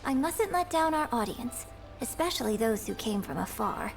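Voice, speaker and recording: A young female opera performer in a video game, poised and earnest. Her voice sits high and her phrasing is courteous and composed. Music plays clearly underneath. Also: lively intonation, moderate pace. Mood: conceding, dutiful. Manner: polite, composed, formal phrasing. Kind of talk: video game character dialogue.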